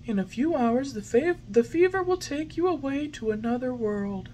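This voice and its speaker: A woman voicing a gentle, patient, motherly fairy in a soft, high voice. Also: animated, moderate pace, slight background noise, poor audio. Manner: soft, lilting, soothing.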